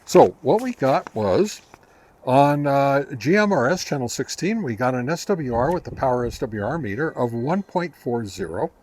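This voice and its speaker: A man presents a hands-on equipment review in a medium-pitched voice. His delivery is casual and folksy. He talks conversationally and rambles a little, like a host explaining results to regular viewers. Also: very animated, moderate pace, a little mellow, a little hiss or hum. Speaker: host reviewing ham radio gear. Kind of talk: casual product test review.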